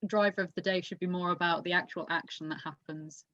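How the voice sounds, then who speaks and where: Low-voiced woman, dull muffled audio. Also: fairly even intonation, fairly quick, a bit bright, no echo. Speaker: podcast host. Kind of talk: casual motorsport race discussion.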